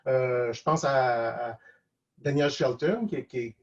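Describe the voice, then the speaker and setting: A man's voice: mid-range voice, measured pace. A man with a Quebec French accent speaking casually and reflectively as a guest, hesitant and often repeating words. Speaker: guest cartoonist and animator. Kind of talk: casual online interview, reflective advice. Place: video call. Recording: dull lo-fi online call.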